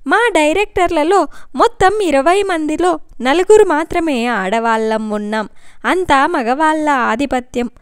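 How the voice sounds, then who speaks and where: A woman's voice: high-pitched, expressive, a little slow, no echo, clean studio sound. A woman voicing an overworked, frustrated wife in her forties, complaining wearily in an audio story. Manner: weary complaining.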